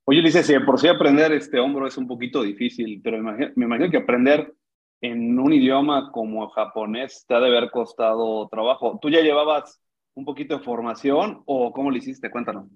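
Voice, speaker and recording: A husky male voice of average pitch, comes through slightly dull audio. He speaks as an interviewer, informal and conversational with his guest. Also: fairly even intonation, brisk. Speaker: interviewer, host.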